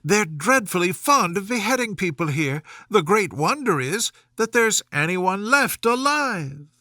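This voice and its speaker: A man's voice: high-pitched, big pitch swings, unhurried, bright, forceful tone, dry recording, clean studio sound, pitched above the performer's own voice, heavily acted. A man voicing a plucky, curious young girl from a storybook in an earnest, emphatic manner.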